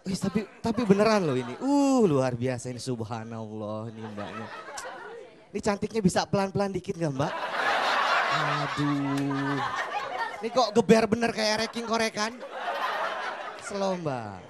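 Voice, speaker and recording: A man's voice: very animated, unhurried, long pauses. A young man doing stand-up on a TV studio stage, teasing the audience on a handheld mic. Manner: teasing the audience, acting out quoted people in higher voices. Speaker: stand-up comedian. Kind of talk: stand-up comedy set. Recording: laughing studio crowd, background noise.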